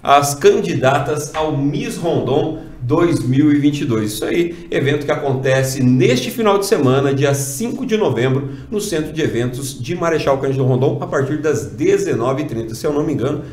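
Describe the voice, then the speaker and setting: A man's voice: on the high side, expressive, normal speed, few pauses, darkish tone. A man in his forties with a Brazilian accent, chatty and upbeat, interviewing guests on a desk mic in a small studio. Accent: Brazilian Portuguese. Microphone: desk mic on stand. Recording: roomy office echo.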